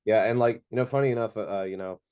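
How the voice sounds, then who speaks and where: A man co-hosting a podcast, his voice hoarse from screaming, chatting in a laid-back, slangy, rambling way from his bedroom at home. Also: lowish voice, quick pace, no room sound, muffled recording. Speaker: podcast co-host. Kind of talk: casual podcast chat.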